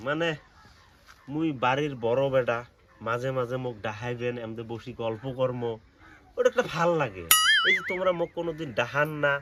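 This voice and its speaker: A man's voice: mid-range voice, normal speed, close to an everyday voice. A young man with a northern Bangladeshi accent acting in a comedy skit as a lovestruck, cheeky eldest son. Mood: eager, flattering. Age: young adult. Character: lovestruck, cheeky eldest son who defies his father. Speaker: comedy skit performer and channel host. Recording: clearly noisy background.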